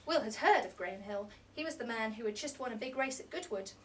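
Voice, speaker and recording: A woman with a fairly high voice reads prose from a novel aloud. She is some way from the mic, with faint room noise around her. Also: lively intonation, quick pace, fairly bright tone. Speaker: reader at a book launch. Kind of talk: scripted narration, reading a novel aloud. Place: indoor bookshop launch party. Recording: distant mic in a noisy room.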